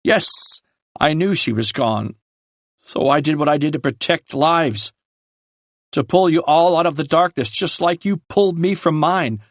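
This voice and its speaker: A man voicing a remorseful older brother who earnestly explains and pleads his case in a lowered voice. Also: a little slow, no room sound. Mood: pleading. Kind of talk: audiobook character reading.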